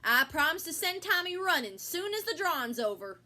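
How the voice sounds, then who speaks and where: Woman playing a high, animated American farm wife. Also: brisk, exaggerated voice. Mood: chatty, folksy. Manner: folksy, exclamatory country talk. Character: chatty, folksy rural farm wife. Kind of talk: amateur stage-play acting.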